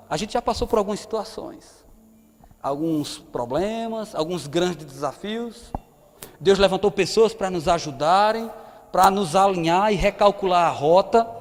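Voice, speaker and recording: High-voiced preacher in a reverberant church. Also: male voice. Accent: Brazilian Portuguese, northeastern. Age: 30s. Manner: conversational preaching, rhetorical questions to the audience. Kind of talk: sermon. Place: church hall. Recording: long hall reverb.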